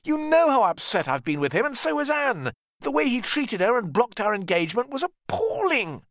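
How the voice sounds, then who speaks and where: A man's voice: very high-pitched, huge pitch swings, normal speed, a bit bright, no echo, studio quality, pitched above the performer's own voice, heavily acted. A man reading an audiobook, voicing an upset, resentful younger brother from a wealthy family in an emphatic, indignant way. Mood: indignant, hurt. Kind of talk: audiobook character dialogue.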